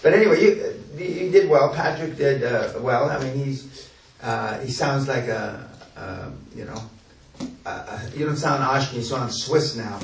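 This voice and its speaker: A man's voice: medium pitch, unhurried, vocal fry. A man leading a business seminar, talking bluntly and with profanity, often mimicking what people say. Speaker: seminar host and business mentor. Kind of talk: blunt seminar lecture with coaching. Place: large echoey room at a seminar. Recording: echoey room, distant mic, faint hiss.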